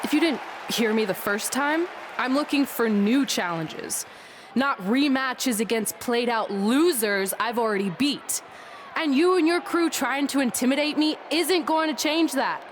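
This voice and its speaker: A woman's voice: high voice, a little slow, slight background noise. A woman playing a defiant female wrestling champion in a video game, sarcastic and dismissive. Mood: defiant, scornful.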